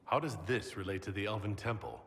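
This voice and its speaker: An actor playing an earnest, determined heroic leader in a dramatic game scene, speaking plainly. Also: male voice, fairly low pitch, brisk, fairly bright tone, long reverb tail. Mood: concerned.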